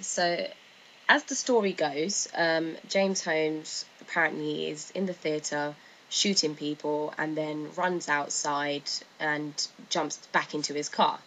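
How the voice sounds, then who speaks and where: A woman narrates in a low-pitched voice. Her commentary is informal and explanatory, with a hesitant, tentative delivery. There is faint noise behind her. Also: fairly bright tone, rough recording. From United Kingdom. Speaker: video narrator.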